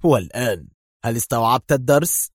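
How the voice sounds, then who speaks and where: A man's voice: medium pitch, lively intonation, fairly quick, dry recording, studio quality, lightly acted. Man acting a mocking, confident secret agent hero. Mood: sarcastic. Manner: sarcastic, taunting, decisive.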